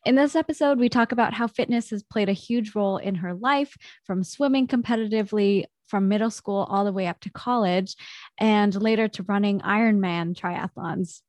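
A woman's voice: mid-range voice, normal speed, a little mellow, no room sound. A young woman hosting a podcast interview, chatty and upbeat. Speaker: podcast host.

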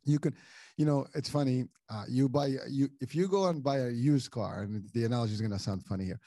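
A man's voice: medium pitch, a little fast, mellow, no room sound, studio quality. A middle-aged man from Morocco hosting a casual interview, talking in long rambling sentences full of restarts and repeated words. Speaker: podcast host.

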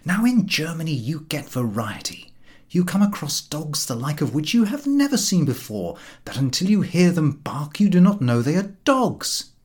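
A man reading comic prose as an audiobook, expressive and theatrical, with measured phrases and emphatic pauses. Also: fairly high pitch. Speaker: audiobook narrator.

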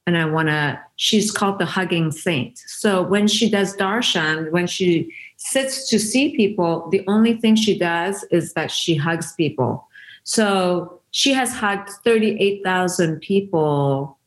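Woman, Farsi native speaker, interview guest, reflective, earnest, somewhat low voice. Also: slow pace, poor audio. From Iran. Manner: earnest, reflective, with frequent restarts. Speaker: interview guest, founder of a women's giving circle. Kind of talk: conversational interview storytelling.

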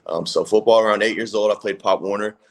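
A man in his twenties from California, a college football player chatting in a relaxed, easygoing way as a guest. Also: lowish voice, fast talker. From California, USA. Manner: relaxed, laid-back, hedging with "if that makes sense". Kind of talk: casual interview chat.

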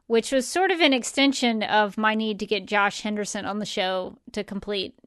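A woman's voice: medium pitch, normal speed, fairly bright tone, clear, resonant tone, no room sound, studio quality. A woman co-hosting a fan podcast, chatting casually in an amused, enthusiastic way. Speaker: podcast co-host.

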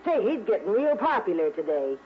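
An actress playing a gruff, nosy, fussy American rooming-house manager in a 1950s radio drama, brusque and folksy in her complaints. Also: medium pitch, very animated, a little fast, natural voice. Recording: muffled, noisy old radio recording.

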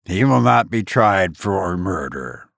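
A man doing a calm, cynical lawyer. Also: deep voice, gravelly, no room sound, studio quality, pitched below the performer's own voice, heavily acted. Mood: measured. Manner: measured, cynical. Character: calm, worldly middle-aged male lawyer.

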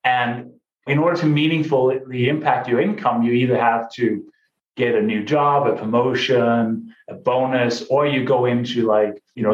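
Upbeat man, roomy lo-fi sound. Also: mid-range voice. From Denmark. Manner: upbeat, conversational, says "right?" and "you know" often. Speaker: guest, real estate investor. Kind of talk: casual interview talk.

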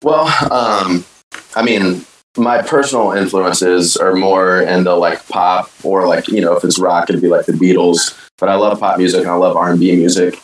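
A man in a band answering interview questions, easygoing and enthusiastic, talking in quick bursts. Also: deep voice, fairly even intonation, reverberant, far from the mic, rough recording. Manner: easygoing, enthusiastic, fast talker. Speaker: band member, guest.

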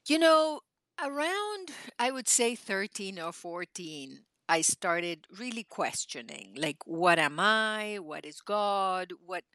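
A woman in her sixties from Argentina, telling her life story as a podcast guest, animated and full of fillers and restarts. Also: mid-range voice, slow, no room sound. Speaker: podcast guest, spiritual teacher. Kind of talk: casual interview storytelling.

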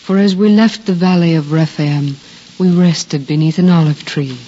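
An actress playing a gentle, devout young mother from the Bible, narrating in a solemn, measured, formal way for a 1950s radio drama. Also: lowish voice, close to an everyday voice. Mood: foreboding. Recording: dull 1950s radio recording, music behind.

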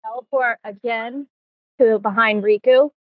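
A woman's voice: fairly high pitch, steady tone, measured pace. A woman chatting casually as a player in a tabletop game, recorded in dull lo-fi sound.

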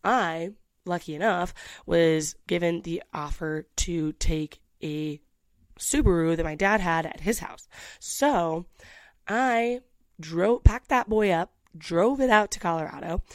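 Chatty young woman, low dry voice. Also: dry recording. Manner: chatty, candid, with frequent restarts and "like". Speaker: vlogger. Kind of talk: casual storytelling to camera.

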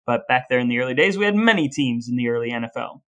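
A man's voice: medium pitch, lively intonation, quick pace, fairly bright tone. An American man co-hosting a podcast in a conversational style. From United States. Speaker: podcast co-host.

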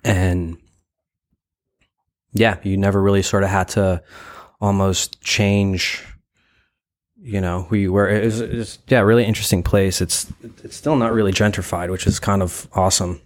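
A man speaking casually as an interview guest, reflective and halting, often padding his sentences with "like" and "you know.". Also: low voice, steady tone. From Brooklyn, New York; born in Ukraine. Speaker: interview guest, gallery founder.

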